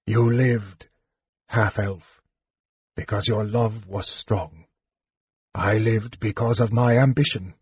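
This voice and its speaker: Man voicing a frail, bitter, hushed young mage. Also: lowish voice, steady tone, takes it slowly, long pauses, breathy edge, dry recording, full character voice. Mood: bitter, weary. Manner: weary, bitter, hushed delivery. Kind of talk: audiobook fantasy character dialogue. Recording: slightly muffled, dull sound.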